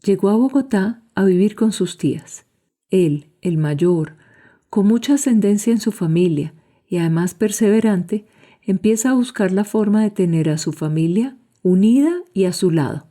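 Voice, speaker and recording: A woman's voice: mid-range voice, lively intonation, normal speed, mellow. A woman delivers scripted documentary narration as a podcast host.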